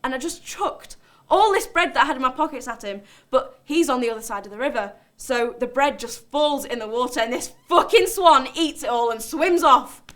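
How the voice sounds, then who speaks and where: Actress doing high voiced woman, sweary n defensive, chatty dramatic monologue, blunt as anything. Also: lively intonation, moderate pace, hardly any pauses, fairly bright tone. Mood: hushed, wry. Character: volatile, defensive, sweary woman recounting her outburst. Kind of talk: dramatic monologue, conversational storytelling.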